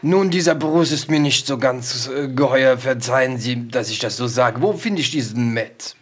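A man's voice: mid-range voice, normal speed, husky, natural voice. A man playing a courteous, concerned investigator with a hesitant, formally polite way of talking in an audio drama. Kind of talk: improvised pen-and-paper audio drama acting.

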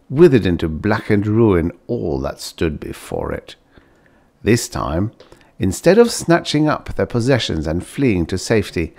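Guy narrating an old-timey story audiobook, super animated, swings up and down a lot. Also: on the low side. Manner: expressive, acts out dialogue. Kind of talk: dramatised scripted narration of vintage fiction.

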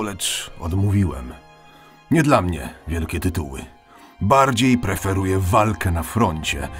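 A proud old elf captain, acted by a man, speaks with weary authority in a lowish, expressive voice. He is melancholy, with faint music behind, in a fantasy radio play. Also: exaggerated voice. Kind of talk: Polish fantasy audio drama.

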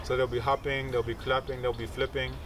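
Man, thirties, didactic teacher, explanatory interview talk, mid-pitched, a bit distant from the mic, clear outdoor background noise. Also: level tone, brisk, fairly bright tone. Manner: didactic, checks understanding with short tag questions. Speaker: capoeira teacher and researcher. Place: outdoors among leafy trees.

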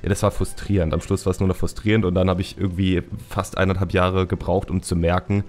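A man with a low-pitched voice hosts a casual podcast conversation. He talks in a candid, colloquial way and often repeats words for emphasis. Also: little pitch movement, fast, a little mellow. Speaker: podcast host and YouTuber.

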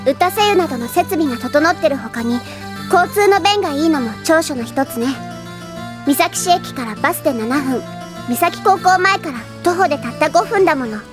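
A woman's voice: high voice, animated, moderate pace, music in the background. A woman voicing a self-assured, bossy teenage schoolgirl detective in a theatrical anime audio drama style. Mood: matter-of-fact. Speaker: voice actress.

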